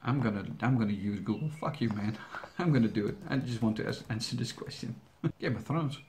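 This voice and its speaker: A man's voice: mid-range voice, fairly quick, darkish tone. A young Dutch man, whose first language is Dutch, answering viewer questions in English in a rambling, hesitant, joking way. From Netherlands. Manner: rambling, hesitant, with frequent fillers and restarts, joking. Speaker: YouTube reaction channel host.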